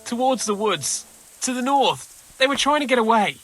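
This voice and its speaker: A high, sharp male voice plays a bitter, shaken survivor in a video game. He speaks haltingly. Music sits behind him. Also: expressive, no echo, rough recording. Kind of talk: video game dialogue acting.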